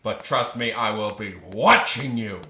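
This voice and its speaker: Mean drill sergeant chef barking orders, sharp voice, echoey room, comedy audio play. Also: male voice, lowish voice, unhurried, a little hiss or hum. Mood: menacing, barking. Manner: barking, insulting military commands. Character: bullying drill-sergeant head chef, hard and contemptuous. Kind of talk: comic audio drama acting.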